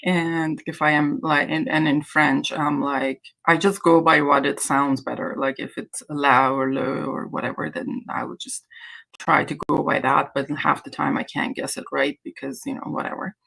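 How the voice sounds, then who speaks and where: A woman's voice: low-pitched, little pitch movement, moderate pace, creaky, a bit bright, poor audio. A woman from Iran whose native language is Azeri, hosting a casual livestream chat in a hesitant, rambling way. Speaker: livestream host.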